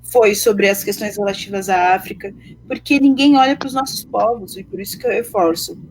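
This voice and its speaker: A woman's voice: medium pitch, moderate pace, a little mellow, slight background noise, poor audio. A Brazilian woman speaking with passion and arguing her points in a discussion. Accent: Brazilian Portuguese. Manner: impassioned, argumentative. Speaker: panelist.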